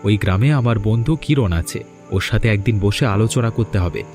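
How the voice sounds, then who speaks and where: A man's voice: fairly low pitch, fairly quick, darkish tone, soft background music, close to an everyday voice. A man playing a weary, reasonable poor farmer in a cartoon fairy tale, speaking earnestly.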